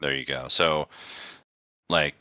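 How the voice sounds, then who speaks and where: A man's voice: a bass voice, steady tone, takes it slowly, bright, forceful tone, no room sound, clean studio sound. A man hosting a podcast, chatting casually in a rambling, conversational way. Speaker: podcast host. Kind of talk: casual chat.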